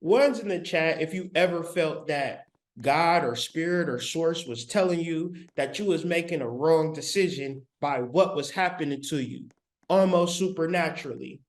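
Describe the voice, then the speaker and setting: A man's voice: fairly high pitch, normal speed. A man giving a live-streamed spiritual lecture. He talks in an emphatic, repetitive, colloquial way, straight to his viewers, on a laptop mic. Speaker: spiritual teacher.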